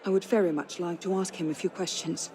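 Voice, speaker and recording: A woman's voice: lowish voice, steady tone, a little fast, dark tone, some chatter behind, a little hiss or hum, natural voice. An actress playing a proud, defiant young noblewoman with a formal, haughty manner in a game cutscene. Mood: grave. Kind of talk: dramatic video game cutscene acting.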